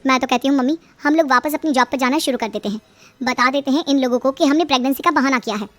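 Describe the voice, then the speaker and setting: A woman's voice: high-pitched, quick pace, lightly acted. A woman voicing a fed-up young married daughter in a story, whiny and complaining. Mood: worried. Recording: music behind the voice.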